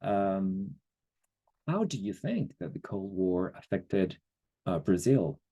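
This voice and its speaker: A middle-aged man from Chile giving an online history lecture, speaking haltingly with many fillers and checking in with listeners. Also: low voice, big pitch swings, mellow, breathy edge, dull audio. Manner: slow, hesitant, many fillers, checks in with the audience.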